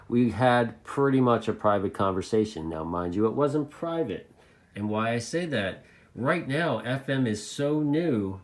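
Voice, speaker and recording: A man's voice: medium pitch, a little mellow. An American man chatting casually and unscripted as a hobbyist video host, rambling along and repeating phrases for emphasis.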